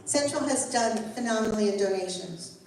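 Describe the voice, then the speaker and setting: A woman's voice: medium pitch, animated, brisk, a crowd in the background. An older woman rabbi leading a Torah study, earnest and encouraging as she teaches the group and answers questions. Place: synagogue hall. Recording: echoey room, mic far off, faint noise.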